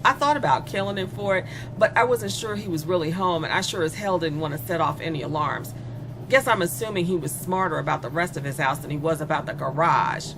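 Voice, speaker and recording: A woman's voice: low-pitched, brisk, background noise. A woman playing a spoiled, bored young female killer in a horror audio drama, confessing with sardonic, casually menacing glee. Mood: gloating, chatty.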